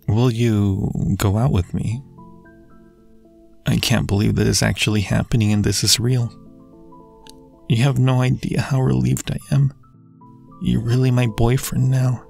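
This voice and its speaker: A man's voice: medium pitch, vocal fry, a little mellow, slightly rough, exaggerated voice. A young man acting a devoted, anxious friend in love in an intimate ASMR roleplay, speaking earnestly and hesitantly. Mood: amazed, relieved.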